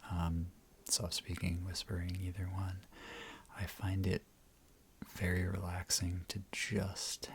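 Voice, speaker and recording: Very low, slow, whispering man. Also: completely flat delivery, slight background noise. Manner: quiet, unhurried, measured. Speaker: ASMR co-host, host's husband. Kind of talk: soft-spoken, whispered ASMR chat.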